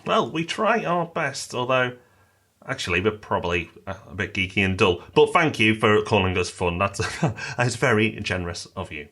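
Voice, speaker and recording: A man's voice: fairly low pitch, very animated, moderate pace, bright, forceful tone. A British man co-hosting a podcast, chatting upbeat and reading listener mail aloud. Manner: upbeat, promotional, reads a listener review aloud. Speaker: podcast co-host. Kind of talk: casual podcast chat.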